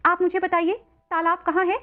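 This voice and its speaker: Lady doing a sweet big sister in a kids story, high lively voice, earnest and emotional, thin phone-like audio. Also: fairly quick. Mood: grateful, earnest. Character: sweet, tearful, trusting elder sister, a young woman. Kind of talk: children's story acting.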